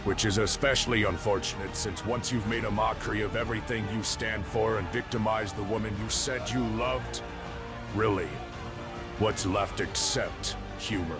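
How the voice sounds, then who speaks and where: An actor playing an imposing, gloating crime lord in a comic-book audio drama, with an eloquent, theatrical, contemptuous delivery. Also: male voice, on the low side, normal speed, natural voice. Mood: mocking. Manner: theatrical, grandiloquent, taunting. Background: music.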